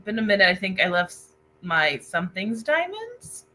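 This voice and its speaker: Lively, bright-voiced woman chatting on livestream. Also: mid-range voice, moderate pace, slight background noise. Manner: excitable, chatty, exclaiming. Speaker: book discussion livestream host. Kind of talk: casual livestream chat, reading out viewer comments.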